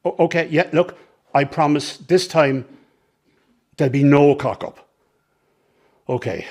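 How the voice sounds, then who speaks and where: A man's voice: fairly high pitch, measured pace, a little mellow. An actor playing an anxious man asking for more time on a phone call, in a radio drama. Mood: anxious, placating. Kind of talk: naturalistic radio drama acting.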